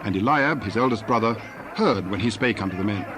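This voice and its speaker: A man's voice: lowish voice, animated, fairly quick. A man reading scripted scripture narration as the narrator of a dramatization. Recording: noisy background ambience.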